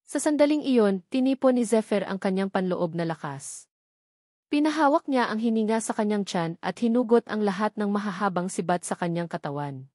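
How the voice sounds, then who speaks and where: A woman reading scripted audiobook narration of an action novel in measured, formal sentences. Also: medium pitch, lively intonation, a little mellow, no room sound, clean studio sound.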